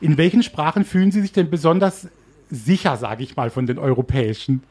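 A man's voice: a little high, fairly quick, a little mellow, slightly rough. A man hosting a casual interview, asking questions in an informal, wordy way. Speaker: interviewer, host.

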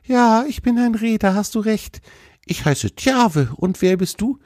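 A man voicing a young male deer in a children's storybook, gentle, curious and friendly, with an earnest, wide-eyed manner. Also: high-pitched, animated, unhurried, no echo, clean studio sound, pitched above the performer's own voice.

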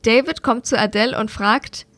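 A German woman with a slightly higher voice talks lively and casually, giving quick affirmations. The mic is close and very dry, with no room sound at all. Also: dry recording. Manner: lively, laughs often, short affirmations. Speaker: podcast co-host. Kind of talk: casual chat reviewing a series.

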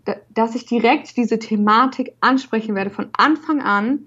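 A young woman with a fairly high voice, speaking casually as an interview guest. She stutters openly, repeating syllables and filling pauses with hesitations. The recording is dull and lo-fi. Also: a bit bright, breathy edge. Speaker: podcast guest. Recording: dull lo-fi sound.

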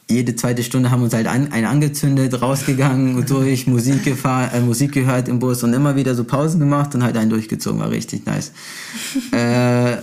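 A man's voice: medium pitch, a little mellow. A young man with a Brazilian background chats casually, telling anecdotes in a slangy, boastful, unfiltered way. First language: Portuguese. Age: young adult.

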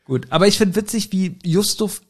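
A man's voice: high voice, animated. A man with a slightly raised voice retells a story as a podcast co-host. He chats casually and sounds amused. He stumbles a little when reading out tricky phrases. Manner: amused, reads out clues haltingly. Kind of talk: casual chat retelling a kids' audio drama.

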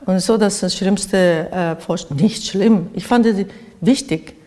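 Mellow older Japanese-accented woman, echoey room. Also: mid-range voice. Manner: halting, searching for words in non-native phrasing. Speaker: interviewed artist. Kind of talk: reflective interview talk. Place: art studio in Berlin, indoors.

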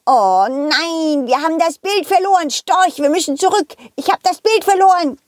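A woman's voice: very high voice, expressive, moderate pace, dry recording, studio quality, pitched above the performer's own voice. A woman voicing a fretful, hasty thieving monkey in a children's audio play, exclaiming and repeating itself. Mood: panicked.

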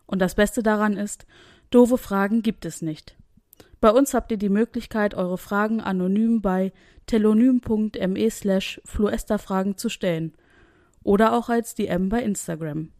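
Mellow-voiced woman podcaster, dry sound. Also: mid-range voice, unhurried, no room sound. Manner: relaxed, chatty. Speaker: podcast co-host. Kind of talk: casual chat. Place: hotel room. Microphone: remote recording.

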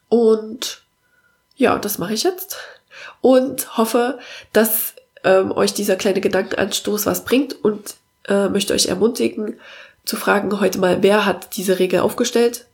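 German woman with a cold, gravelly voice. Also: mid-range voice, a little mellow. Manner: earnest, encouraging, with many filler sounds. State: has a cold. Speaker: podcast host and parenting coach. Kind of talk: casual spoken monologue.

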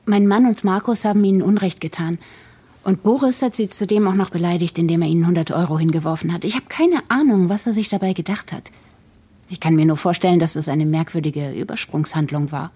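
A woman playing a cool, calculating wife in a German podcast drama, speaking in measured, polite and articulate phrases with practised sincerity. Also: fairly low pitch, mellow, husky. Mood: measured, reasoning. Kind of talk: German fiction podcast acting.

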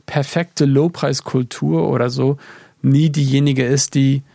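A man's voice: medium pitch, steady tone, measured pace, creaky, warm tone, dry recording, clean studio sound. A man from Germany talking reflectively in a casual podcast conversation, thinking aloud with many fillers. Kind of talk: casual conversation about worship music.

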